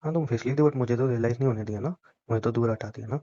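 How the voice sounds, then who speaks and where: A man's voice: medium pitch, racing, dark tone. Young man teasing on a dull phone line. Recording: dull lo-fi phone call recording.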